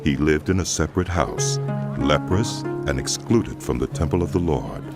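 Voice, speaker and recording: A man reading scripture as the solemn, measured narrator of a dramatized audio Bible. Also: extremely low pitch, expressive, loud music behind the voice.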